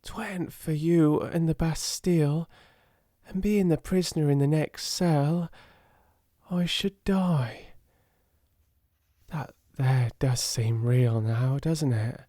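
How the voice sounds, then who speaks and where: Male reader as a young servant girl, downtrodden, working-class English accent, hoarse, lifted pitch, grateful, storybook. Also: takes it slowly, dark tone, no echo, studio quality. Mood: hushed, pleading.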